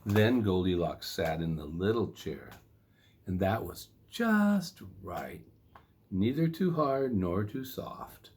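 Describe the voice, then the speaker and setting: A man reading a children's story in a playful, expressive way with dramatic emphasis. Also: low-pitched, takes it slowly, husky.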